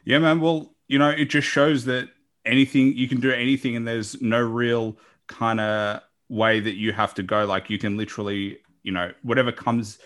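A man's voice: mid-range voice, little pitch movement, normal speed, fairly bright tone. A man hosting a podcast, chatting casually with a guest in a rambling, encouraging way. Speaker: podcast host. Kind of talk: casual interview chat.

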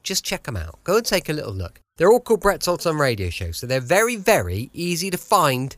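A man's voice: fairly high pitch, wildly swooping pitch, fairly quick, fairly bright tone, dry recording. An English man chatting effusively as he introduces his podcast as host. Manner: effusive, chatty, repeats himself. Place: at home, dry close recording.

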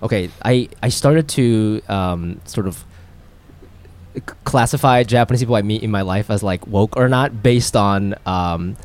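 A man hosting a casual interview chat, feeling his way haltingly through his questions. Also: on the low side, darkish tone.

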